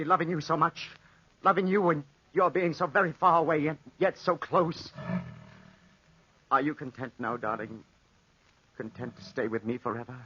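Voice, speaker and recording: A man's voice: fairly high pitch, bright, forceful tone, slightly rough. A man acting an impassioned, lovesick soldier losing his mind in the desert, in a melodramatic 1940s radio drama style. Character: lovesick, unhinged soldier stranded in the desert with a panther. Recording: muffled, noisy 1940s radio recording.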